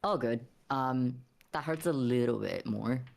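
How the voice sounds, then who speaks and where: A woman with a strikingly low voice plays a tabletop roleplaying game. She speaks slowly and casually, as one player among the group. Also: gravelly, a little mellow.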